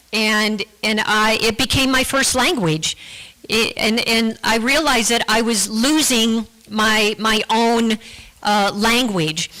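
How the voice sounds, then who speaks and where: Earnest woman, bright tone, sharing testimony at church, halting delivery. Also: a little high, poor audio. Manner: earnest, with frequent restarts and repeated words. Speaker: guest speaker giving a testimony.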